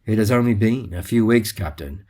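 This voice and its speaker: An actor playing a calm, logical male alien science officer in a sci-fi audio drama, speaking formally and without emotion. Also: lowish voice, lightly acted. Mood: cold calm. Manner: measured, formal, unemotional.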